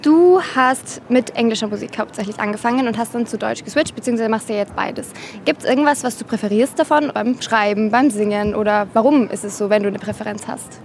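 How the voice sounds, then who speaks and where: Woman interviewer, fairly high voice, informal and friendly questioning, clear ambient noise behind. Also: brisk, hardly any pauses, a little mellow.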